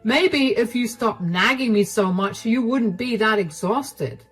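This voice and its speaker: A woman's voice: on the low side, lively intonation, moderate pace, slightly rough, soft background music, low-quality recording. A woman voicing a lazy, unemployed husband who is defensive and entitled, in a read-aloud story. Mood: indignant. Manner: whiny and defensive.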